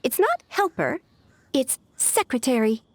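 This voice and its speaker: High-voiced officious young secretary. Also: female voice, very animated, a little slow, no room sound, heavily acted. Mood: prim correcting. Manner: formal, businesslike, crisp wording. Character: precise, polite, officious young female secretary. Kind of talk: animated game voice acting.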